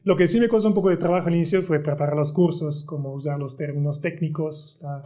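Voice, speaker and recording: Swiss guy, kinda high voice, stumbles and repeats words explaining physics. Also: quick pace, dark tone. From Switzerland. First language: French. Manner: hesitant, repeats words while searching for terms. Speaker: guest physicist. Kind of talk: casual conversational explanation.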